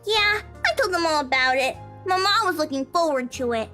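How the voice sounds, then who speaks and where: A woman's voice: high-pitched, expressive, fairly quick, pushed and bright. A woman voicing a cheerful, chatty little schoolgirl in a visual novel game, childlike and bubbly. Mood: chatty, innocent. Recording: game music behind the voice.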